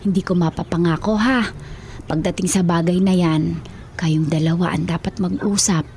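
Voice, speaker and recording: A woman playing a gentle, worried older Filipino grandmother who speaks candidly in a television drama. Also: fairly low pitch, fairly even intonation, moderate pace, slight background noise, close to an everyday voice.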